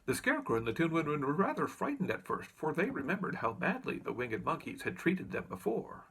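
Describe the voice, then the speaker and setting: Guy reading a kids book aloud, super expressive, bit high n creaky. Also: brisk, rough recording. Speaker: audiobook reader. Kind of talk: animated storybook narration.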